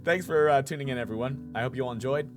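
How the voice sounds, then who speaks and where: A man's voice: mid-range voice, fast, music in the background. A man giving casual, joking and self-mocking commentary as a YouTuber playing a game.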